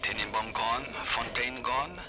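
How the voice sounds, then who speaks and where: A man's voice: mid-range voice, a little slow, heavy background noise, lightly acted. Man playing a smug scientist. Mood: smug, scheming. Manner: broken English, dry, self-satisfied. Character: smug, self-serving male scientist, cold and calculating. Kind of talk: video game audio diary acting. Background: loud game music.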